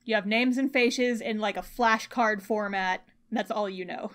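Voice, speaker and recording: A woman's voice: mid-range voice, a little slow, a bit bright. A woman co-hosting a podcast in casual chat, giving brief, informal reactions and interjections. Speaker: podcast co-host, listening and reacting.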